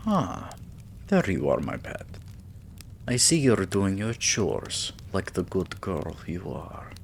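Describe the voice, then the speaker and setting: A man playing a regal, possessive, doting vampire king in an intimate ASMR roleplay, with lordly, drawn-out phrasing and murmurs. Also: low-pitched, huge pitch swings, creaky, slight background noise, exaggerated voice. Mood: pleased, teasing.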